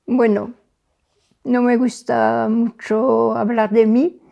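A woman's voice: on the high side, little pitch movement, takes it slowly, creaky, dark tone, highs cut off. An older woman from France with a French accent, a native French speaker, reminiscing as an interview guest. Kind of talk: reminiscing in conversation.

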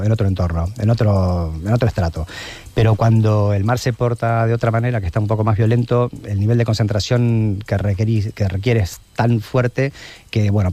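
A man's voice: on the low side, mellow. A middle-aged man from Argentina with an Argentine Spanish accent, giving reflective, explanatory answers as a guest in a conversational interview. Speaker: interview guest, long-distance open-water swimmer.

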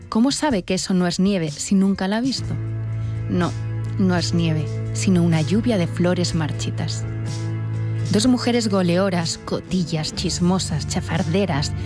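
Woman radio host, measured delivery. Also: lowish voice. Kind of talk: radio interview and reading aloud.

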